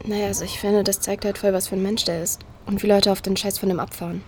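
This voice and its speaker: A woman's voice: mid-range voice, level tone, fast talker, a little mellow, a little hiss or hum, lightly acted. A young woman playing a frank, slangy vlogger in an audio drama, casual and blunt. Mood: wry. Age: young adult.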